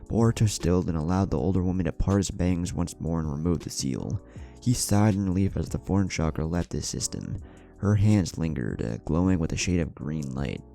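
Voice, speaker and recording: A man narrating a scripted story in a measured, dramatic storytelling manner. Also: low voice, lively intonation, vocal fry, warm tone, faint music behind.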